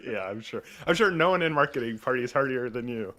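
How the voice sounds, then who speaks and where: A man's voice: medium pitch, animated, a little fast, fairly bright tone. A man co-hosting a podcast in casual chat, wry and teasing, laughing easily. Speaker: podcast co-host.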